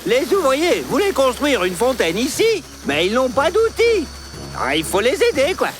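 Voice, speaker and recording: Boastful young boy dinosaur, high and swooping, children's cartoon, music underneath. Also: wildly swooping pitch, normal speed. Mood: cocky, eager. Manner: cocky, chatty, bragging. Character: boastful, excitable young cartoon dinosaur, male, self-styled superhero. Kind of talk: animated children's cartoon dub. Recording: music behind the voice.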